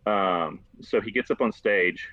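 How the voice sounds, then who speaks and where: An American man chatting casually and telling rambling, animated stories as a podcast host. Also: on the low side, measured pace, dry recording. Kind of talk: casual conversational storytelling. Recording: slightly dull lo-fi, dry close sound.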